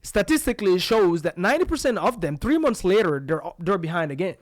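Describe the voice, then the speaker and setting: A man's voice: sits high, big pitch swings, quick pace, no echo, studio quality. A man from Belgium co-hosting a podcast, coaching listeners in an emphatic, punchy way full of rhetorical questions. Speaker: podcast co-host. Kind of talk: real estate coaching talk.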